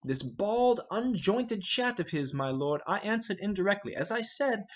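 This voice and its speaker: Man acting a hot-headed young nobleman, animated and husky. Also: sits high, moderate pace, lo-fi sound, pitched above the performer's own voice. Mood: scornful, indignant. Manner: impetuous, scornful, declamatory. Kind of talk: Shakespearean verse drama reading.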